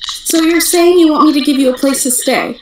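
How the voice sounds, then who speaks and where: A woman's voice: brisk, reverberant, very noisy. A woman voicing a proud, hot-tempered young noblewoman in an anime fandub, with indignant, dramatic delivery. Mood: curious, wary. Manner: indignant, shrill, dramatic outbursts. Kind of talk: anime fandub script reading.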